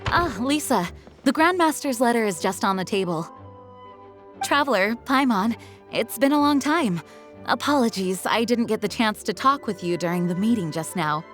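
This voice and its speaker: A woman's voice: on the high side, expressive, normal speed, lightly acted. A woman voicing a dutiful, composed knight commander in a video game, formal and courteous. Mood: friendly, courteous. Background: music.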